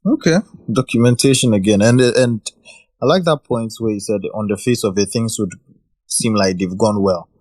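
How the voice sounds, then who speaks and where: A man's voice: lowish voice, moderate pace, a little mellow, slightly rough, dry recording. A man hosting a casual live audio chat, friendly and joking, often repeating words as he gathers his thoughts. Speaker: host. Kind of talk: casual discussion.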